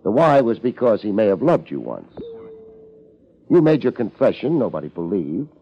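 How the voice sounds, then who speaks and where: Deep, husky, wry private eye. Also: male voice, moderate pace, full character voice. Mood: quiet, probing. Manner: deadpan, understated. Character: laid-back, dryly wry male private detective. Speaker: lead actor in radio detective drama. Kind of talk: 1950s hardboiled radio drama acting. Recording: muffled 1950s radio recording.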